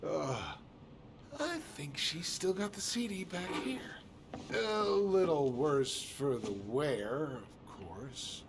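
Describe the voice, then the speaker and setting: A man's voice: on the high side, lively intonation, takes it slowly. A man playing an easygoing, wry, helpful store worker in a video game cutscene. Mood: amused, nostalgic. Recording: clearly noisy game stream, ambience.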